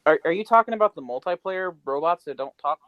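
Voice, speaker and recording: A man's voice: a little high, expressive, fast, no room sound, muffled recording. A man co-hosting a podcast with casual, opinionated banter. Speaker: podcast co-host.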